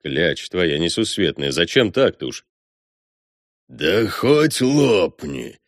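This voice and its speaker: Casting: male reader doing jeering invisible rogue, folksy and gruff, very lively delivery, storybook. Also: fairly low pitch, a little slow, no room sound, clean studio sound, pitched above the performer's own voice. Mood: grumbling. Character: gruff, mocking, foul-mouthed invisible stranger.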